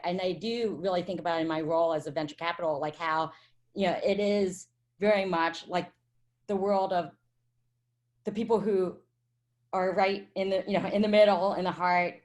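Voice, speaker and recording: Chatty woman hosting a panel. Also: deep voice. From Vietnam. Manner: chatty, many fillers and restarts. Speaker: venture capital partner and panel host. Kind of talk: casual panel talk.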